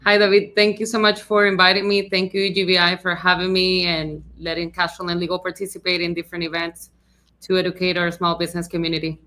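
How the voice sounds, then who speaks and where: A woman's voice: lowish voice, flat delivery, fast, sharp tone. A woman in her thirties with a Venezuelan Spanish accent, a Spanish native speaker answering interview questions as an attorney in a friendly, earnest way. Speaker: attorney, podcast guest.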